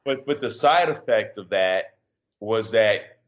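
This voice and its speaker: A middle-aged man from Chicago with a deep voice, a podcast guest talking in a reflective, halting way with many fillers and restarts. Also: expressive. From Chicago, USA. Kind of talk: casual interview conversation.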